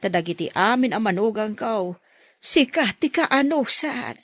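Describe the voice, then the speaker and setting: Lady acting an older ilocano nanay, worried and fond, medium pitch, radio drama. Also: animated, moderate pace, dry recording, close to an everyday voice. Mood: fretful, affectionate. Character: fretful older mother, fond of her daughter-in-law.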